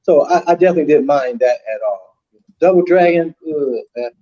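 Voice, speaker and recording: A man with a mellow, fairly high voice talks casually as a panelist. He is a little hesitant and repeats words. The audio is dull and lo-fi. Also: a little fast.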